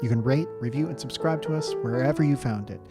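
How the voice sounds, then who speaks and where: An announcer reads scripted podcast credits at a middling pitch. Guitar music plays clearly behind the voice. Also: quick pace.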